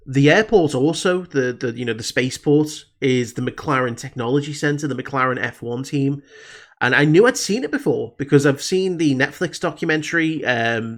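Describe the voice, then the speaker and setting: Bunged-up British man rambling casually. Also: mid-range voice. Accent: British English. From Britain. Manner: rambling, many fillers and restarts. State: slightly congested from a cold. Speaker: reaction video host. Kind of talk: casual review chat.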